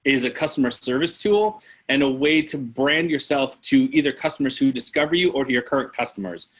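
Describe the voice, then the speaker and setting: A man's voice: mid-range voice, animated, brisk. A man in his forties explaining things conversationally as a guest expert on a video call, often checking the listener follows. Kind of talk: casual explanatory chat with anecdotes. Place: indoor room on a video call.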